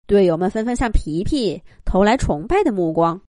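A woman telling a children's story with gentle, expressive narration. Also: mid-range voice, normal speed, dry recording, studio quality.

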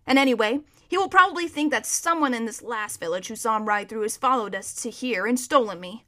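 A woman's voice: a little high, brisk, a bit bright, lightly acted. A woman reading a storybook as a proud, bossy but kindly male war horse, with an emphatic, lecturing manner. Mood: assured. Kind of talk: children's storybook character reading.

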